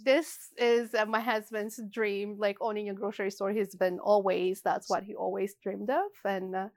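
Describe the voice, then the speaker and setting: A woman's voice: medium pitch, little pitch movement, moderate pace, darkish tone, dry recording. A woman in her forties from Ethiopia, talking in an interview in a hesitant way, with many fillers and restarts. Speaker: interview guest, shop co-owner. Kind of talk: casual interview.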